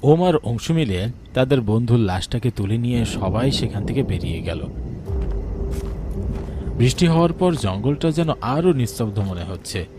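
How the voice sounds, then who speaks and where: A man's voice: medium pitch, sing-song, normal speed, background noise. A man narrating a scripted cartoon story in a dramatic storytelling style.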